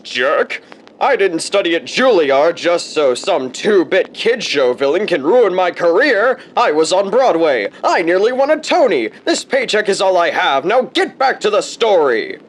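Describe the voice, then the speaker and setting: A man's voice: high-pitched, big pitch swings, moderate pace. An actor playing a self-pitying male narrator of a kids' show, prissy and indignant.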